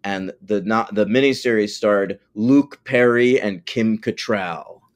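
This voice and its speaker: A man's voice: fairly low pitch, slow pace. A man in his forties co-hosting a casual film podcast, wry and rambling, with casual swearing. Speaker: podcast co-host.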